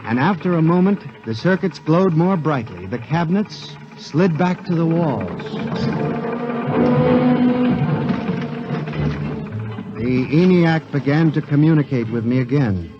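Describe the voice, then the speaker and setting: An actor playing a frightened, melodramatic clerk confessing a monstrous secret in a 1950s radio drama. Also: male voice, fairly high pitch, lively intonation, moderate pace, few pauses, dull audio, faint music behind, natural voice. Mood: tense dread. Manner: melodramatic, theatrical, formal wording. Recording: 1950s radio recording with musical underscore.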